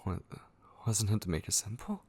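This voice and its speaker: A man playing an obsessive, possessive kidnapper in an ASMR horror roleplay, with a breathy, gravelly voice that slips into whispers and lingers tenderly and menacingly over words. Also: lowish voice, expressive, normal speed, darkish tone. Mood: taunting, hushed. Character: obsessive, possessive male kidnapper, unhinged, taunting and devoted.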